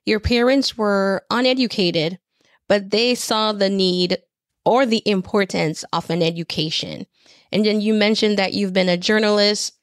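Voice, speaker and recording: Woman, podcast host, encouraging, mid pitch, dry close mic. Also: a little slow, no room sound. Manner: encouraging, affirming. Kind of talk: interview questions.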